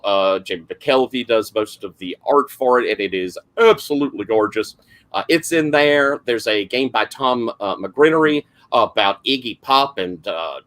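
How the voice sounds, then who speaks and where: Southern game master: middle-aged man, gravelly, rambling and full of fillers, talking over an online call. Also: mid-range voice, a bit bright. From South Carolina, US. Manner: rambling, lots of fillers and restarts. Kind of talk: casual introduction of a game.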